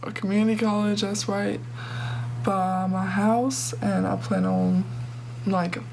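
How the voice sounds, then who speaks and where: A young woman with a deep voice, chatting casually and joking through a makeup tutorial. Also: little pitch movement, slow pace, vocal fry, darkish tone, slight background noise, poor audio. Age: young adult. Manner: chatty and joking, heavy use of "like" and "literally". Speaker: beauty vlogger.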